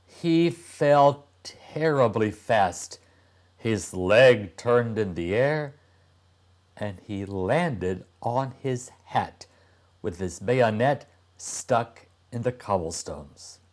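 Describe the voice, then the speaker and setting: A man in his sixties reading a storybook aloud to young children, deliberately and with dramatic pauses. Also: fairly low pitch, lively intonation, darkish tone. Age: older, about 60s. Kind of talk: expressive storybook narration.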